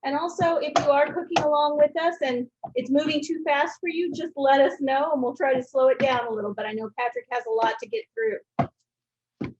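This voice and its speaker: A woman's voice: sits high, moderate pace, darkish tone, muffled recording. A woman hosting an online cooking class, speaking to participants in a conversational announcing style.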